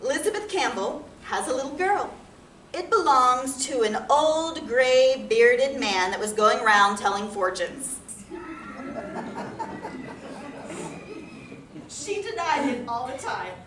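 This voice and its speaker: A woman's voice: high voice, expressive, a little slow. An actress playing a loving, anxious farm wife of the 1860s, speaking her letters to her husband as an emotive stage monologue in plain, old-fashioned rural phrasing. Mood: gossipy, amused. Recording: roomy, distant mic with background noise.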